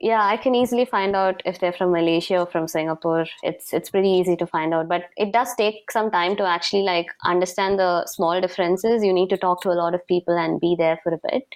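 A woman's voice: on the low side, steady tone, brisk, few pauses. A young woman from South India, an interview guest, explaining things earnestly in a casual chat with plenty of fillers.

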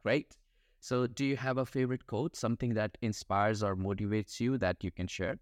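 A male podcast host who asks his guest brisk, upbeat questions. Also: on the low side, steady tone, no echo, studio quality. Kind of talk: podcast interview.

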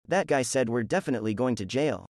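A man's voice: medium pitch, expressive, quick pace, a little mellow, dry recording, clean studio sound, pitched above the performer's own voice. Man doing a panicky teenage boy. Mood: anxious. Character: scared teenage boy, joking friend.

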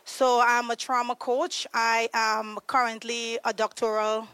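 A woman from Trinidad and Tobago, a podcast guest explaining trauma in an earnest, conversational way, pausing to search for words. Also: on the high side, little pitch movement, fairly bright tone, clean tone, dry recording. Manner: earnest, searching for words, frequent ums and restarts.

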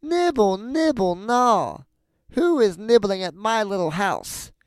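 A man voicing a very old, sly and bossy wicked witch for a storybook, with slow, drawn-out words. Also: high-pitched, expressive, clean tone, no echo, clean studio sound, voice pushed up, full character voice. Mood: sly calling. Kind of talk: storybook fairy tale character voice.

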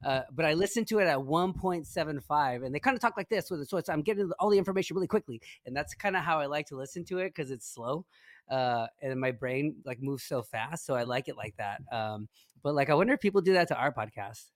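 A man chats casually as a podcast co-host, with slang and swearing thrown in freely. His voice is somewhat high for a man and recorded very dry, with no room sound. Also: expressive, quick pace, dry recording. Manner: slangy, profane. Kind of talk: casual chat.

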